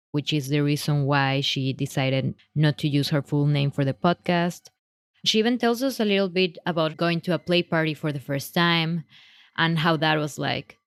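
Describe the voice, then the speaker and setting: Low-voiced Mexican-accented woman podcaster. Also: clear, resonant tone, no echo, clean studio sound. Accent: Mexican Spanish. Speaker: podcast host. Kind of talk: podcast intro and interview.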